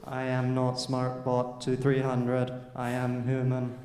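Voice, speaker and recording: A synthetic-sounding male voice giving stilted, literal answers in a flat, robotic manner. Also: medium pitch, slow pace. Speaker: chatbot test subject. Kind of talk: stilted question-and-answer replies. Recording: echoey hall, some noise.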